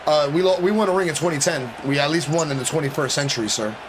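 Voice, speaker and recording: Rapid slangy young male streamer. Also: on the high side. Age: 20s. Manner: rapid slangy trash talk. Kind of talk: casual live-stream banter. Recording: game crowd noise behind.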